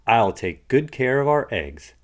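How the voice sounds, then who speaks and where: A man voicing a kindly, encouraging seahorse father from a storybook, polite and expressive. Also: medium pitch, measured pace, pitched above the performer's own voice. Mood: eager, caring. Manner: polite, expressive questions and praise.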